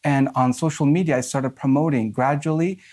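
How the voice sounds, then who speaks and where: A man's voice: medium pitch, fairly even intonation, brisk, a little mellow. A man in his forties from Afghanistan, raised in Southern California, talking earnestly as an author guest, with many fillers, restarts and light laughs. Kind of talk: conversational interview.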